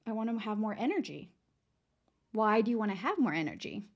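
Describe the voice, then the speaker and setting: A young adult woman from Texas hosting a casual motivational podcast, her voice raspy and worn, chatty and upbeat as she talks straight to her listeners. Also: medium pitch, sing-song, brisk, muffled recording. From San Antonio, Texas. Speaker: podcast host.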